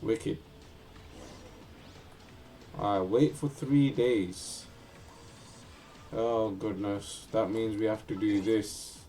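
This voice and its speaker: A man giving casual, low-key commentary on a game playthrough, muttering as he goes. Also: fairly even intonation, very slow, long pauses, warm tone, faint music behind. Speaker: gaming streamer.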